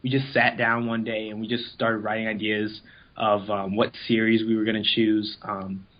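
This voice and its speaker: A man's voice: fairly low pitch, little pitch movement, moderate pace. Rambling casual man on dull lo-fi audio. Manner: rambling, informal, acts out quoted remarks. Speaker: interview guest, amateur voice actor in an abridged anime series. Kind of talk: casual interview chat, telling anecdotes.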